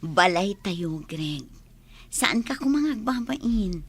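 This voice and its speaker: A woman's voice: medium pitch, lively intonation, takes it slowly, slightly rough, exaggerated voice. A woman with an Ilocano Filipino accent playing an attentive wife in a radio drama. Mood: gently coaxing. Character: attentive woman posing as an amnesiac man's wife.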